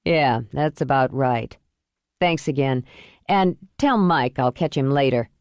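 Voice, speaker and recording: A woman's voice: extremely low pitch, unhurried, a little mellow, no echo, voice pushed down. A woman narrator voicing a weary young man with a dry, blunt manner.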